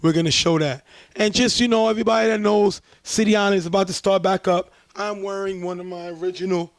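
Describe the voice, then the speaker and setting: Hoarse New man hosting sports talk. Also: high-pitched, fast talker, no echo. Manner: relaxed, chatty play-by-play breakdown. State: losing his voice. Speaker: show host, sports commentator. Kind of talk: casual sports talk show commentary.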